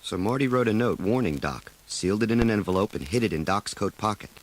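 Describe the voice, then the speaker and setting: Low-voiced male storybook narrator. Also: lively intonation, fast, slight background noise. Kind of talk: scripted children's storybook narration.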